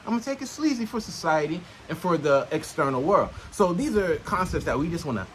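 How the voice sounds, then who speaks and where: High-pitched man, slangy outdoor vlog talk. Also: big pitch swings, brisk, a little hiss or hum. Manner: streetwise, slangy, frequent swearing; punctuates points with checking questions to the listener. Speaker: self-help vlogger. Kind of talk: casual unscripted monologue, teaching to camera. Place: outdoors in nature.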